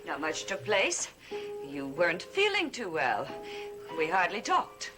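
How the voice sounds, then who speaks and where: Poised secretive woman, arch, coaxing, animated pitch, gothic TV soap, clear background music. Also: unhurried, low-quality recording. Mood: soothing, dismissive. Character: poised, secretive woman, probing with smooth concern. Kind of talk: 1970s gothic television soap opera acting.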